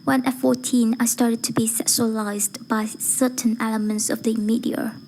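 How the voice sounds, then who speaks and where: A woman with a breathy, whispery voice reciting a formal speech in a measured, deliberate way, phrase by phrase. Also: fairly high pitch, level tone, darkish tone, a little hiss or hum, poor audio. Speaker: amateur voice-acting student reciting a speech.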